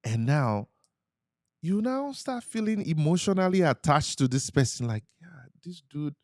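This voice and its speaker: A man, a Yoruba speaker, co-hosting a podcast in casual chat, animated and acting out quoted lines with frequent laughs. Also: on the high side, moderate pace, no echo, studio quality. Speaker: podcast co-host.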